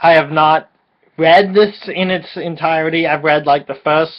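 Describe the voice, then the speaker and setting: A man's voice: on the high side, fairly even intonation, normal speed, highs cut off. A slightly tipsy man bantering casually and reading aloud for comic effect in a crude, teasing, informal way. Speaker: co-host of a dramatic reading video.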